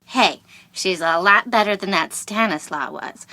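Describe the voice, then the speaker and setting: Matter-of-fact film crew gossip, dry sound. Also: female voice, medium pitch, measured pace, pushed and bright, no room sound. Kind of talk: scripted adventure game dialogue.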